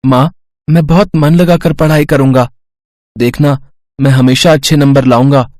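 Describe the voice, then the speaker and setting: A woman's voice: a bass voice, steady tone, moderate pace, darkish tone, no room sound, clean studio sound. A woman voicing an eager, polite poor schoolboy in a story, earnest and respectful.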